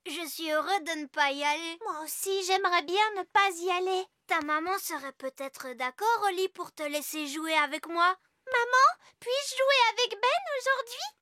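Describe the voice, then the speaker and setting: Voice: very high voice, normal speed, pushed and bright, no room sound. An actor voicing a cheerful, polite little fairy-tale child in a French cartoon dub, with an eager manner. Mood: reluctant.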